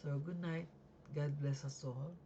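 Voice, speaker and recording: Slow, gravelly, sleepy-sounding voice. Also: medium pitch, steady tone, dull audio, background noise. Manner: halting, many fillers and restarts. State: raspy and sleepy. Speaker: life insurance agent, still learning. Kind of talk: casual explainer video. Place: home at night.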